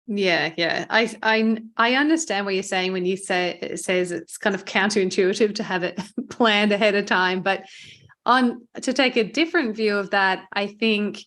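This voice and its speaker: Australian woman hosting, medium-pitched voice. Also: moderate pace, a bit bright. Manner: chatty, appreciative, affirming. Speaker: podcast host. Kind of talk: conversational interview hosting.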